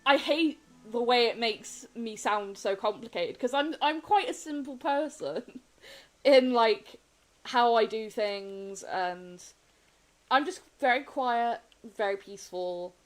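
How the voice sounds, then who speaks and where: Woman, sniffly, casual gaming-stream chat, rambling, soft laughs. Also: on the high side, slow pace.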